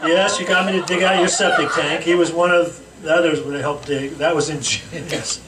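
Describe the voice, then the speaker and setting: A man reading a comedic roast speech aloud to a gathering, teasing with deliberate pauses. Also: a little high, steady tone. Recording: echoey room, distant mic, noisy background.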